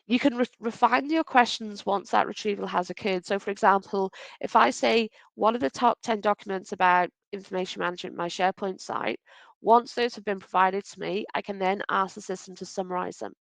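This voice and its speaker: A woman originally from Wales, her accent only faint, presents slides over a video call in a relaxed, practical way and corrects herself as she goes. Her voice has a gravelly, creaky texture at a middle pitch. The sound is dry and close, with no room echo. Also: fairly quick. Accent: faint Welsh. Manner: conversational, practical, self-correcting. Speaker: webinar presenter.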